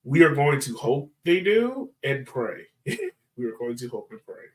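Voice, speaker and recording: A man's voice: mid-range voice, very animated, unhurried, rough recording. A man hosting a casual sports podcast, talking in a chatty, informal way.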